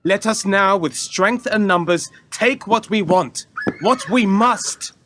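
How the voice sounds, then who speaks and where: An actor playing a bloodthirsty, eager warrior prince in a radio play, declaiming aggressively in archaic language. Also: male voice, high voice, slow pace, fairly bright tone.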